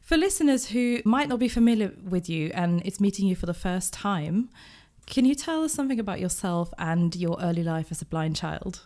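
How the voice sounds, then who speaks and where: A woman producer interviewing a guest, asking gentle, encouraging questions. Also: medium pitch, lively intonation, normal speed. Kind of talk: conversational interview.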